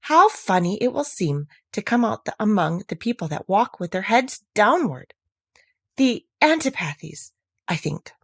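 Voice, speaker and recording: A woman's voice: mid-range voice, very animated, a little slow, a bit bright, dry recording, clean studio sound, full character voice. A woman voicing a curious, chatty, dreamy little girl who muses earnestly to herself in a storybook. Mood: wondering aloud. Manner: musing aloud to herself, earnest. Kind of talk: storybook character voice.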